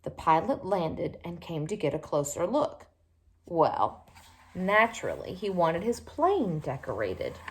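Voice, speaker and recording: A woman reading a children's picture book aloud in an expressive, animated way, with little asides to her listeners. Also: fairly low pitch, a little slow. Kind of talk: storybook read-aloud to young children.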